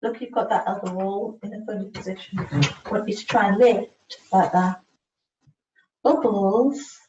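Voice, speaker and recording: Woman tutoring one on one, really slow, repeats stuff and spells letters out, muffled echoey video call. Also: medium pitch. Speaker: communication support partner helping a learner spell out words. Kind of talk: one-to-one lesson, coaxing and prompting. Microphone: video call, away from the microphone. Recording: echoey room, muffled distant mic, faint noise.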